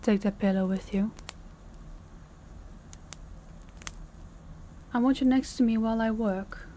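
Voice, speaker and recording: A woman playing a stern, condescending female mafia boss in an intimate audio roleplay, giving measured orders directly to the listener. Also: a little high, warm tone, a little hiss or hum, natural voice. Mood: measured, commanding.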